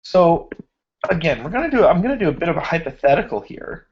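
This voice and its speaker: A man's voice: fairly high pitch, very animated, highs cut off, slight background noise. A man teaching a finance class over an online hangout, walking through a spreadsheet example while he is losing his voice. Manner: slow, thinking aloud while formatting cells. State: losing his voice, clearing throat. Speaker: finance instructor. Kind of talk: screen-share spreadsheet lecture. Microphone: computer mic on a video hangout.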